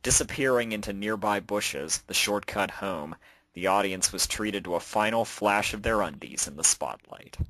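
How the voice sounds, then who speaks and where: A man's voice: fairly low pitch, animated, moderate pace, fairly bright tone, no room sound, low-quality recording. A man reading out a story as its narrator, in a scripted storytelling style. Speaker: narrator and fan fiction author.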